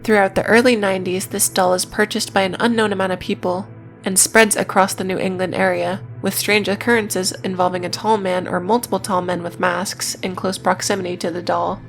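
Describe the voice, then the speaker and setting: A woman's voice: medium pitch, fairly even intonation, fairly quick, creaky. A woman narrating a scripted video essay in a matter-of-fact way, with casual, dryly irreverent asides. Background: music.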